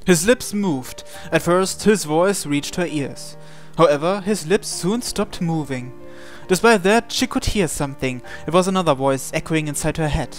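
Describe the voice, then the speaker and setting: Male visual novel reader, fairly high voice, dramatic scripted narration, music underneath. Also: expressive, moderate pace.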